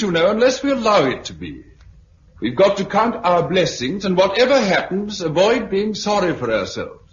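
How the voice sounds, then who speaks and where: An actor with a British accent playing a hearty, courteous committee chairman rallying survivors in 1950s radio drama style. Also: fairly high pitch. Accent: British English. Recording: dull, hissy 1950s radio recording.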